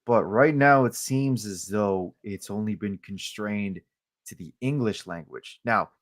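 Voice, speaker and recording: A man from Colombia whose first language is Spanish, speaking passionately as a podcast guest and getting loud on key points. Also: fairly low pitch, a little slow. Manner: passionate, gets loud on key points, stumbles when heated. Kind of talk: heated podcast discussion.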